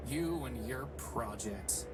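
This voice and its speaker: A man's voice: medium pitch, expressive, takes it slowly, distant mic, loud music behind the voice. A man voicing a small, chatty robot sidekick in a video game, flippant and sarcastic in his delivery. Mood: teasing.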